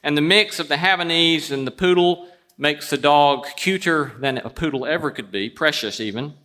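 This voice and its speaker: Wry, measured male host. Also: a little high, sharp tone. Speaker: show host introducing a guest. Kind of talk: prepared storytelling introduction before an audience.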